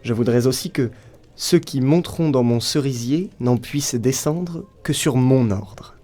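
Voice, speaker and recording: A man plays male figures of an old folk tale, from devil to saint to wily blacksmith. The voice is mellow and mid-pitched, with emphatic, theatrical delivery suited to audio fiction. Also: unhurried, soft background music, natural voice. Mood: eager, demanding.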